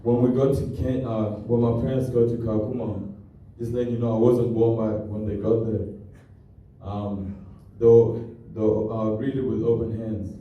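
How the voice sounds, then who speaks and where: A young man born in Kenya and raised in Australia tells his family's refugee story as a halting, plainspoken presentation. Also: fairly low pitch, steady tone, muffled recording. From born in Kenya to South Sudanese parents, raised in Australia. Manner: halting, restarts often, plainspoken. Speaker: student presenter. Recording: very echoey hall from far off.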